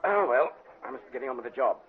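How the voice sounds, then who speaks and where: An actor playing a weary police inspector in a classic radio drama, with an English accent and an emphatic, exasperated manner. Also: male voice, mid-range voice, expressive, rapid-fire, close to an everyday voice. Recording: tinny, faintly noisy vintage radio recording.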